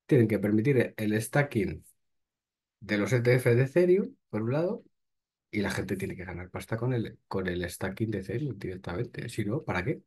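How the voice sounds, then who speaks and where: A man's voice: on the low side, expressive, normal speed, darkish tone, breathy edge. A man, hosting and reading crypto news aloud, then breaking off with short blunt remarks. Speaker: host.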